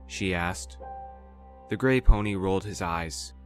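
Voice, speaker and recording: A woman narrating a fan story in a steady storytelling manner. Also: rumbling low, measured pace, soft background music.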